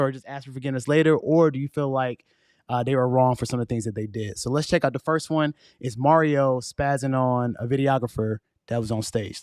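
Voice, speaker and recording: A man hosting a podcast in a casual, slangy way, his voice hoarse and fading. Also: mid-range voice, a little mellow, no room sound, studio quality. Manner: laid-back, slangy, conversational. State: losing his voice. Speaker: podcast host.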